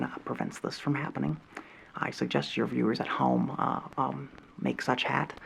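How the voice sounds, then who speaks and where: A man voicing a nervous, nerdy male alien hobbyist who hesitates constantly in a soft-spoken roleplay. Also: mid-range voice, vocal fry, slight background noise. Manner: hesitant, full of ums and uhs.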